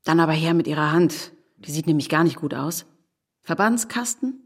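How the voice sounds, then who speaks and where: A woman playing a sardonic, quick-witted married city woman in an audio drama, with a wry, teasing and theatrical delivery. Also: deep voice, animated, breathy edge, heavily acted. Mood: brisk, wry.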